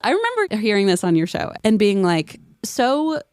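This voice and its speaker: A woman's voice: medium pitch, moderate pace, clean tone, no echo. Casual, joking woman on a dry mic. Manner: animated storytelling with acted-out quotes. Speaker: podcast panelist. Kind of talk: casual comic chat among friends.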